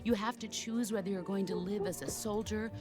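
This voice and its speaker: An actress playing a calm, commanding veteran female soldier in a video game, speaking in a measured, solemn, instructive way. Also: medium pitch, lightly acted. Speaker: military mentor over radio. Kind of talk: dramatic video game voice acting. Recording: music behind.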